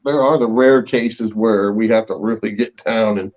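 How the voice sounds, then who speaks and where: Man, low voice, plain-spoken, matter-of-fact co-host, lo-fi dull audio. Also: steady tone, moderate pace. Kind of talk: casual podcast talk.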